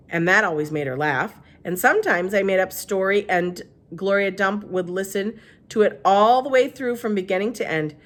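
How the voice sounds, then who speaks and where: A woman's voice: medium pitch, fairly bright tone. A woman narrating a children's storybook aloud like a teacher reading to a class.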